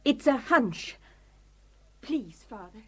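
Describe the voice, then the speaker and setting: An actress playing an earnest, polite young woman in a radio drama, with a husky voice. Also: high voice, animated, slow, bright, forceful tone, heavily acted. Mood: worried, pleading.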